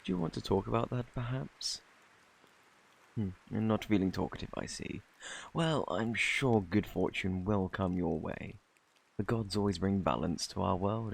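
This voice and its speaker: Low, gravelly British fortune teller played by a man. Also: moderate pace. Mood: smooth, coy. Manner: courtly, old-fashioned, teasing phrasing. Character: flirty, peculiar fortune teller man at a fantasy inn. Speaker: ASMR roleplay performer. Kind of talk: ASMR character roleplay.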